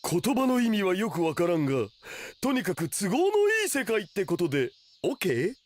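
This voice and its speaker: A man's voice: high voice, huge pitch swings, moderate pace, exaggerated voice. A man playing a laid-back, nerdy young otaku with slangy, teasing internet speak in an anime radio drama. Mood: excitable.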